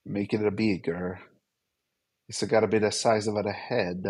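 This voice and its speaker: A man's voice: on the low side, little pitch movement, a little slow, darkish tone, husky. A man hosting a casual livestream chat in a playful, teasing way. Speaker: livestream host.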